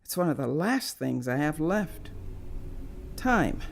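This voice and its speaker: A woman's voice: low-pitched, big pitch swings, slow, vocal fry, slight background noise, full character voice. An actress playing a curt, weary woman writer in her sixties in an audio drama, with a dry, dismissive manner. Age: 60s. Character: prickly, weary 66-year-old female cult writer.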